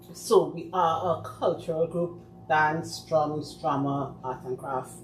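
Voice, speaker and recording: A woman with a Trinidadian accent, speaking for a community group and explaining things in a measured way. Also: deep voice. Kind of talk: casual chat. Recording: echoey room, faint background noise.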